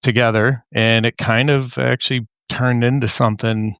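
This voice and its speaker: A man's voice: mid-range voice, little pitch movement, moderate pace, creaky, fairly bright tone, smooth, dry recording, highs cut off. A man in his forties, a mechanical engineer, chatting at length on a podcast video call, rambling. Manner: talkative, rambling. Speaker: guest, mechanical engineer and studio founder. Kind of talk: casual podcast chat.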